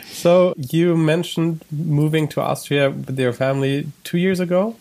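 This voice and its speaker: A man's voice: on the high side, fairly even intonation, a little slow, a little mellow. A man hosting a ministry podcast, a native German speaker who reads an upbeat introduction and then chats with his guest. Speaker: podcast host. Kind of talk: scripted intro then interview chat.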